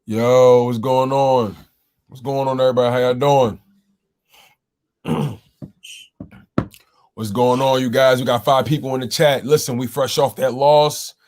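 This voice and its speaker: A man with a slightly scratchy voice hosts a live sports talk stream. He speaks slowly and drawn out, greeting his chat by name and repeating his points with loud exclamations. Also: medium pitch, fairly even intonation. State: throat a bit rough. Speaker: host of a sports call-in show.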